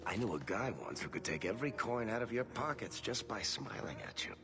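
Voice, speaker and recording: An actor playing a smooth, roguish storyteller in a fantasy game, delivering wry, dramatic narration with deliberate pauses over music. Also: male voice, medium pitch, animated, close to an everyday voice. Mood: wry, teasing.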